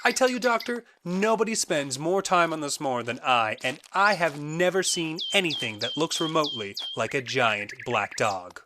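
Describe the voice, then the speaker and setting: A man's voice: a little high, lively intonation, moderate pace, few pauses, noisy background, natural voice. A man acting in an audio drama as a genial, eccentric naturalist who guides visitors with eager, theatrical and sometimes ominous storytelling.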